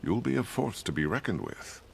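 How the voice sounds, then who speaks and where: An actor playing a seasoned, loyal tech supplier in a video game, with a measured, dry and knowing manner. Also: male voice, on the low side, very animated, gravelly, a little mellow, slight background noise, heavily acted. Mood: wry helpful.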